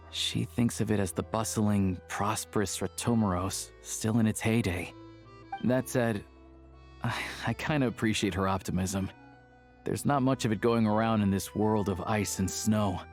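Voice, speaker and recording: An actor playing a calm, caring, somber man in a video game, talking in a measured, subdued way. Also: on the low side.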